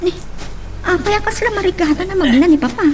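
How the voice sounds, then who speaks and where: A woman acting a young pregnant daughter, gentle and respectful, in a family radio drama, with an Ilocano accent. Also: very high-pitched, lively intonation, fast. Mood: gentle, concerned. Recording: faint background noise.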